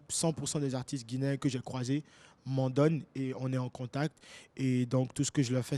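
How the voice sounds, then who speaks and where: A man's voice: medium pitch, darkish tone. A young man from Guinea, a music artist, answering interview questions casually and rephrasing as he goes.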